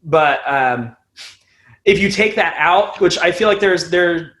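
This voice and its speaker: A young man with a fairly high voice speaks as a musician guest in an interview. He talks informally and loosely, circling around his thoughts and swearing casually. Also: expressive, takes it slowly, fairly bright tone. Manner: rambling, informal, casually profane. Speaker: band frontman, podcast guest.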